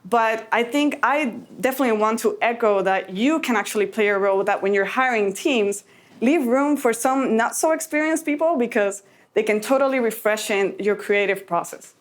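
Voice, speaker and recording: Woman from Puerto Rico speaking at a conference, earnest and encouraging, voice pitched a bit high. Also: normal speed. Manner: earnest, encouraging, a little emotional. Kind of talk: keynote talk to an audience.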